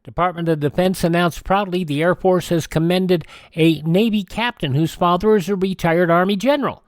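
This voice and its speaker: An American man with a fairly high voice hosts a radio show, reading scripted news items and introductions. The sound is close and very dry, as from a studio. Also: normal speed, no echo. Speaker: radio show host and newsreader.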